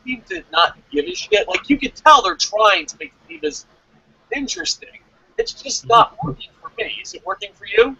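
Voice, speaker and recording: A man giving excitable, casual fan commentary as co-host of a review show, rambling and repeating himself. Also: very high-pitched, normal speed, gravelly, no echo, low-quality recording.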